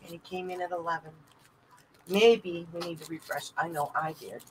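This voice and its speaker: A woman's voice: on the low side, fairly even intonation, moderate pace, slight background noise, low-quality recording. A woman co-hosting a casual live auction, chatting playfully with viewers and calling out bids.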